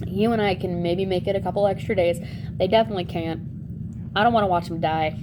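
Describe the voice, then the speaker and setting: A woman's voice: on the low side, fairly even intonation, fast talker, noisy background, natural voice. Woman as a blunt, pushy storybook mother. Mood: grim, insistent. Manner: insistent, matter-of-fact.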